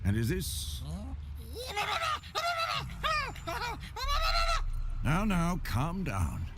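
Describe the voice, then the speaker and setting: A man's voice: a little high, huge pitch swings, slow pace, heavy background noise, exaggerated voice. An actor playing an old fatherly mentor in a video game, wry and grumbling, fussy about manners. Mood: wry, welcoming. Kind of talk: video game cutscene acting.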